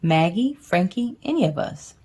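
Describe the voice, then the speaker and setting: A woman's voice: lowish voice, animated, moderate pace, a little mellow, husky. A woman reading the part of a curious, tender young female bear cub from a storybook. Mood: earnest.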